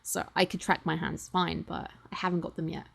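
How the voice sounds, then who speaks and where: A woman's voice: fairly low pitch, little pitch movement, a little fast, vocal fry, a bit bright, husky. A British woman in her twenties, chatting casually and in a rambling, self-deprecating way as she thanks her channel's viewers. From Britain. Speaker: channel host. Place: home streaming setup. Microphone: computer or webcam mic.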